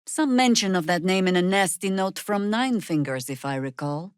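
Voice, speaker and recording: A woman's voice: lowish voice, lively intonation, normal speed, smooth, dry recording, lightly acted. A woman voicing a seasoned, wry, battle-hardened druid veteran in a fantasy video game, speaking in a dry, world-weary, commanding way. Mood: determined.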